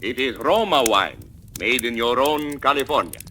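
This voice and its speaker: An actor playing a gracious, courtly Latin American host in a 1940s radio advert. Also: male voice, mid-range voice, very animated, moderate pace, sharp tone. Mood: gracious, formal. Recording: faintly crackly vintage radio recording.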